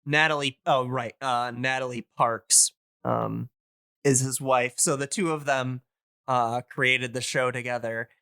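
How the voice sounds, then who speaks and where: A man's voice: medium pitch, steady tone, unhurried, bright, forceful tone, clear, resonant tone, no room sound, clean studio sound. A man in a casual podcast chat, explaining things enthusiastically and in a discursive, meandering way.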